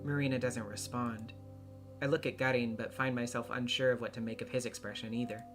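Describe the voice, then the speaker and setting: A solo voice actor narrates a visual novel in a scripted, dramatic reading. The pitch is middling, and music plays clearly behind the voice. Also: steady tone, a little fast.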